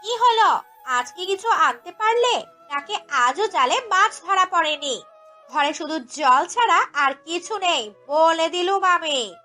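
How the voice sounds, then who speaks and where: Woman playing a high-pitched, nagging greedy village wife. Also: animated, takes it slowly, bright, forceful tone, faint music behind, full character voice. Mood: scolding. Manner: scolding, demanding, pushy. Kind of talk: Bengali cartoon story voice acting.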